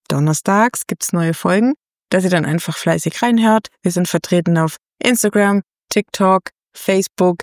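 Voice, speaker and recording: Gravelly woman in her thirties, chatty host. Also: on the low side, a little mellow, no echo, studio quality. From Germany. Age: 30s. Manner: chatty, many fillers, laughs between phrases. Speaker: podcast host. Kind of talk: casual chat.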